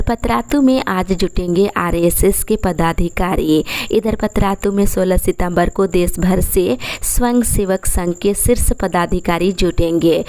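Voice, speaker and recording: A woman reads a scripted news bulletin in a steady, even manner. Her voice sits at a middle pitch for a woman. She moves from one headline to the next with the same link phrase each time. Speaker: news reader.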